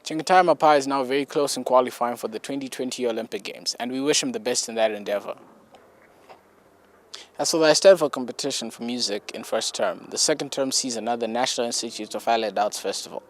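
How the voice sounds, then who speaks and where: A man's voice: mid-range voice, fast talker. A man presenting scripted news on a newsreel.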